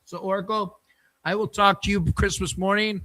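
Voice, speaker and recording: A man's voice: high voice, level tone, normal speed, sharp tone, rough recording. A man hosting a sports podcast, chatting loosely and signing off for the holidays. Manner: loose, rambling and friendly. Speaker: podcast host. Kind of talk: casual sports show chat.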